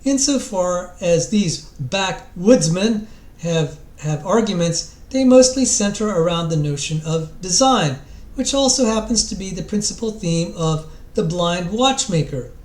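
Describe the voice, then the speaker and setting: A man's voice: sits high, expressive, unhurried. A man giving a dramatic, theatrical reading aloud from a book, stressing his phrases emphatically. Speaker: reader of a book introduction. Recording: echoey, roomy sound.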